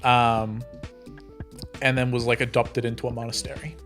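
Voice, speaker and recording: Male tabletop player, casual and rambling, mid pitch, faint music in the background. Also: level tone, moderate pace, fairly bright tone. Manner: rambling, self-interrupting, laughs often. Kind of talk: casual group chat.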